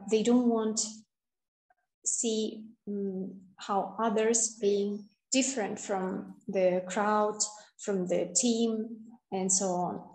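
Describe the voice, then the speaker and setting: Woman from Kazakhstan, webinar presenter: slow, halting delivery over a video call, echoey room. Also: medium pitch, a little mellow. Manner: slow, hesitant, with frequent fillers.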